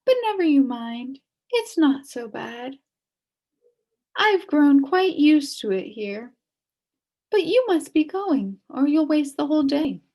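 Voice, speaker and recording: A woman voicing a kindly, sad old witch from a storybook, gently resigned and soothing. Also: sits high, very animated, slow, pitched above the performer's own voice, exaggerated voice. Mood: resigned, reassuring.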